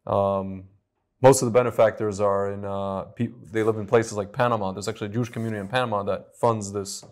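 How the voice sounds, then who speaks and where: A young man, a wine importer guest, calmly and patiently explaining in a casual studio chat on a lapel mic. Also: lowish voice, quick pace. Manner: calm, explains patiently with small restarts. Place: studio set with vineyard backdrop.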